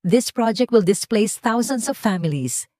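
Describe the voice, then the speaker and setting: Audiobook role: principled Filipino businessman, played by a woman, firm and emphatic, mellow timbre, dry close sound. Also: on the high side, lively intonation, a little slow, no room sound, pitched above the performer's own voice. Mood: firm refusal. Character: resolute, principled male billionaire businessman. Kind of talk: audiobook character dialogue.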